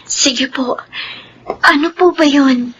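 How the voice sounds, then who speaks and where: A woman's voice: high voice, takes it slowly, highs cut off. An actress playing a meek, anguished young mother in a radio drama. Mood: timid. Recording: faintly noisy radio drama track.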